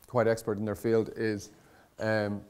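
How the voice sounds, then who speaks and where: Low-voiced man giving an informal talk. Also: completely flat delivery, unhurried, a little mellow, smooth. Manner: conversational, hesitant, rambling with frequent self-corrections. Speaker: conference speaker on startup content marketing. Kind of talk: informal presentation to an audience.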